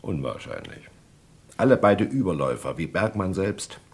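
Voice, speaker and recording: A man's voice: low voice, sing-song, moderate pace, vocal fry, breathy edge, heavily acted. A man playing a cool, matter-of-fact hired agent in a German radio crime drama, speaking in a dry, laconic, slangy way.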